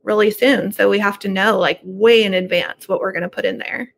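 A woman's voice: medium pitch, fairly even intonation, fairly quick, gravelly. A middle-aged woman talking casually as an interview guest over a video call from a room at home. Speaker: podcast guest, horror book subscription business owner.

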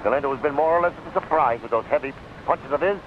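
A man's voice: mid-range voice, quick pace. An American man giving live blow-by-blow boxing commentary in the style of 1930s radio. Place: ringside at an outdoor stadium. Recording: tinny, noisy 1939 radio broadcast recording.

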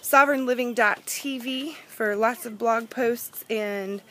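A woman's voice: fairly high pitch, measured pace, bright, forceful tone. Upbeat young woman on a garden tour. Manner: upbeat, chatty, pointing things out. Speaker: vlogger giving a garden tour. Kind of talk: casual walking tour narration. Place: outdoor backyard garden. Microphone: phone camera held in hand. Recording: outdoor phone audio with background noise, hand over mic at times.

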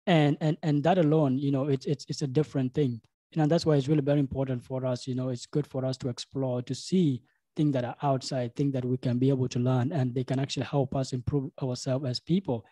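A young man hosting a podcast interview, halting and full of fillers like "you know.". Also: medium pitch, flat delivery, mellow, no echo. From South Sudan. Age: young adult. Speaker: podcast host. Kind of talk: casual interview. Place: home studio room. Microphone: studio microphone with headphones.

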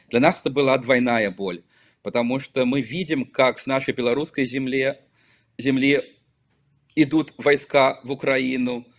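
A middle-aged man from Belarus speaks over a video call from his office, his voice at an ordinary middle pitch. He answers in a measured, emphatic way, pausing and correcting himself as he goes. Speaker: interview guest, local politician and activist. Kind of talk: earnest remote interview answers. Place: office with small desk flags, indoors. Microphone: video call webcam.

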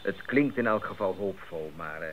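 An actor playing a weary, doubtful young missionary in a radio play, with a dry, hesitant manner. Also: male voice, sits low, expressive, moderate pace. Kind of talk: 1980s Dutch radio play acting. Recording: muffled old radio drama, faint noise.

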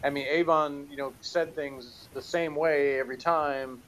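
A man's voice: a little high, fairly even intonation, unhurried, fairly bright tone, a little hiss or hum, low-quality recording. A man chatting casually at his home desk, wearing headphones, reviewing an audio drama in a rambling, self-deprecating way with lots of restarts. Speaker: show co-host. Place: home room with bookshelves, indoors. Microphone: headphones on, desk camera.

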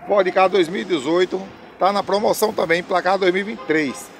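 A man, pitching used cars in chatty, repetitive salesman patter, filmed on a phone at an outdoor car lot. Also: fairly quick, creaky. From Brazil. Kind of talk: casual sales pitch on camera. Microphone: phone camera at arm's length.

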